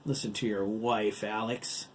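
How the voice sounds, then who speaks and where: A huge, menacing giant played by a man gloats and taunts in a storybook tale. The delivery is sneering and unhurried, with faint noise underneath. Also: on the low side, level tone, lightly acted. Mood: gloating menace.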